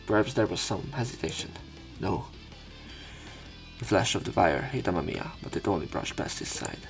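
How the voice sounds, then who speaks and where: A man's voice: deep voice, animated, moderate pace, vocal fry, faint music behind, low-quality recording. A man reading game narration aloud as a let's player, breaking into irreverent, casual commentary. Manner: irreverent, crude asides.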